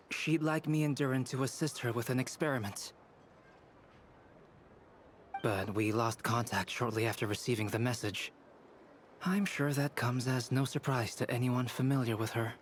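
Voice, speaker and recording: An actor playing a calm, detached young scholar in a video game, articulate and unhurried. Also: male voice, medium pitch, slight background noise, natural voice. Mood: wry.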